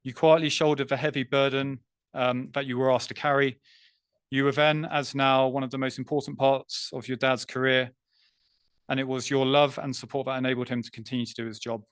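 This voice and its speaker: A man's voice: medium pitch, little pitch movement, smooth, no echo. Formal male ceremony announcer. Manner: measured, formal reading. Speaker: master of ceremonies.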